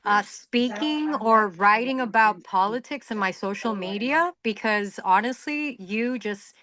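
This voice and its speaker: A woman's voice: on the high side, measured pace, a bit bright. A woman from the Dominican Republic chatting casually in a group, hedging and hesitant as she goes.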